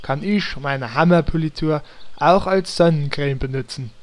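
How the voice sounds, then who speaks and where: A man acts a naive, self-important paladin in a fantasy parody radio play. The voice is on the high side, with slow, dramatic phrasing. The sound is dry. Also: no room sound, close to an everyday voice. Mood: cheerfully naive. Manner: dramatic, drawn-out, pompous. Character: naive, vain, theatrical male paladin hero.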